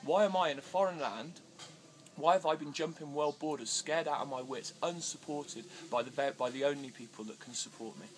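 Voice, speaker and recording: A man's voice: on the high side, fairly quick, a little hiss or hum, low-quality recording. A man answering interview questions with many fillers and restarts, growing agitated as he talks. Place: outdoors in India.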